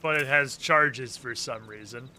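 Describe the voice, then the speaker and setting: A man's voice: mid-range voice, a little slow, pushed and bright. A man giving casual live commentary as he plays a game, muttering to himself and swearing freely. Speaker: game streamer.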